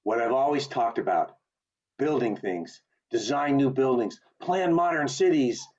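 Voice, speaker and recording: A man playing a restless, eager young small-town man with big dreams in an amateur radio play, earnest and expressive. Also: medium pitch, unhurried, close to an everyday voice. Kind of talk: community theatre radio play acting. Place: remote video call. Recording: dull lo-fi video call audio.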